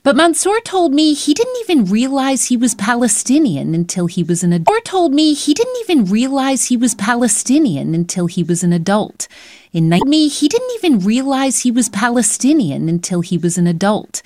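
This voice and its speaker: A woman's voice: a little high, big pitch swings, normal speed, a little mellow, dry recording, studio quality. Woman narrating a news report.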